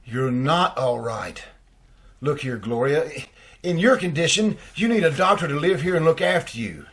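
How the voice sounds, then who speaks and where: A man's voice: on the high side, animated, moderate pace, fairly bright tone, slightly rough, heavily acted. A man playing an earnest, worried family doctor in an old-time radio drama, pleading and hesitant. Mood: pleading, reassuring.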